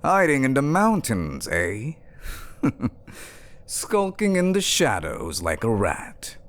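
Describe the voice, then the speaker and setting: An actor playing a scheming duke in a fantasy audio drama, with a pompous, theatrical, taunting delivery. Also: male voice, mid-range voice, huge pitch swings, takes it slowly, exaggerated voice. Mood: mocking, accusing. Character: scheming, sneering duke, a smooth-tongued villain.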